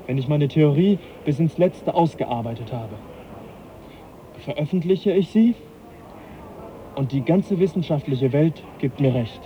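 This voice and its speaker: An actor playing the young naturalist Charles Darwin, earnest and measured, in a radio play. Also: male voice, fairly high pitch, lively intonation. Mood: persuasive. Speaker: scientist lecturing. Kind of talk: 1980s German radio play acting. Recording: dull lo-fi radio recording, faint hiss.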